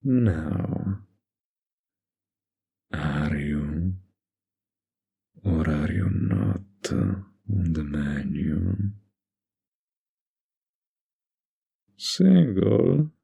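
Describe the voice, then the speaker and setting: Man acting a slow, gravelly, flirtatious mafia boss. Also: rumbling low, big pitch swings, warm tone, heavily acted. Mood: provocative, flirty. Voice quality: gravelly, husky.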